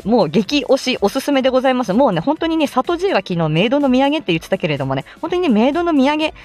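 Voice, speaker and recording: A woman's voice: high-pitched, darkish tone. A woman hosting a casual live stream from inside a car, playful and self-deprecating as she gives chatty announcements. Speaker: livestream host; speech-language therapist.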